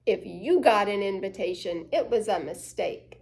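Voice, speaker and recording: A woman's voice: mid-range voice, lively intonation, normal speed, vocal fry, darkish tone, pitched below the performer's own voice. A woman voicing a bossy, scornful young girl from a children's story, scolding in a know-it-all way.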